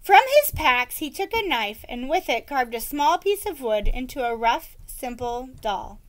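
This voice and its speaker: High-voiced woman reading aloud, unhurried. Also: lively intonation. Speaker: read-aloud reader for children. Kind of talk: storybook narration and plain explanation to children.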